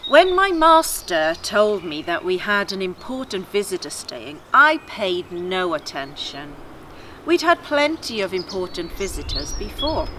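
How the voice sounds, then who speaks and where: A woman's voice: mid-range voice, sing-song, measured pace, a bit bright. A woman reading children's stories outdoors in a garden, chatting informally and narrating expressively. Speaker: children's story reader. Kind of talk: friendly chat and expressive storybook narration. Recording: faint outdoor garden noise.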